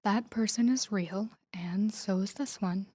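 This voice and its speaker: A woman playing a guarded, tender mafia boss in an intimate audio roleplay, speaking softly and breathily to a lover in a measured, confiding way. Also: medium pitch, husky. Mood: solemn, tender. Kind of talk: intimate scripted audio roleplay addressed to the listener.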